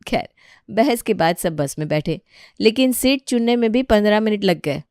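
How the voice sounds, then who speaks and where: A woman's voice: medium pitch, lively intonation, normal speed, a little mellow, clear, resonant tone, dry recording, clean studio sound. A woman narrator reading a scripted family story.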